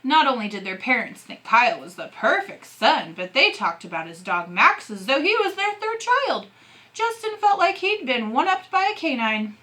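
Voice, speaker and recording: A woman teacher reading a novel aloud in an expressive narrating style. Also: high-pitched, normal speed.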